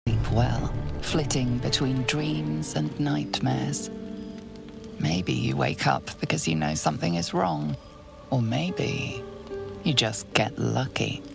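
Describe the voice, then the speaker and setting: Female game narrator, extremely low, heavy vocal fry, solemn, ominous, hushed, dark fantasy storytelling. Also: takes it slowly, music in the background, heavily acted.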